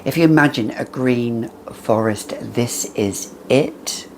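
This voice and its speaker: Slow-paced perfume vlogger over background noise. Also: mid-range voice. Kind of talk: casual product review to camera.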